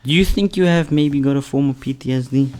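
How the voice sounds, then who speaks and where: A young man hosts the chat at a quick clip. His pitch sits in a middle range, and his speech is loose and casual, full of restarts and swearing. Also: a little mellow. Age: young adult. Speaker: podcast host. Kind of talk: casual podcast Q&A.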